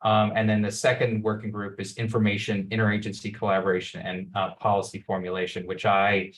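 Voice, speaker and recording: A man's voice: fairly low pitch, little pitch movement, a little fast, a bit bright. A man speaking as a working group co-chair in an online meeting, earnest and listing points with frequent ums. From United States. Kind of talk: opening remarks in an online meeting.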